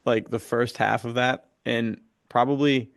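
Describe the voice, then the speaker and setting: A man's voice: mid-range voice, steady tone, unhurried, gravelly, no echo, studio quality. A man co-hosting a podcast in casual, slangy, bro-ish chat. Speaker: podcast co-host.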